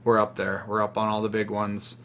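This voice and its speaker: A man's voice: on the low side, monotone, fairly quick, fairly bright tone, a little hiss or hum. A man talking casually as a band member being interviewed.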